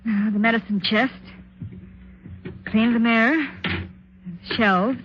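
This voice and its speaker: An actress playing an anxious young wife in a 1950s radio suspense drama, speaking in a tense, hesitant, dramatic way. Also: female voice, on the high side, fairly even intonation, takes it slowly, noisy background. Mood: uneasy. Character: anxious young wife narrating her frightening mystery. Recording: dull vintage radio, music underneath.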